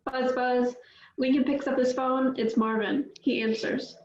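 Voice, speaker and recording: A woman's voice: sits high, fairly even intonation, measured pace, a little mellow, reverberant, lo-fi sound. A woman reading the stage directions at a script table read in a plain, matter-of-fact way.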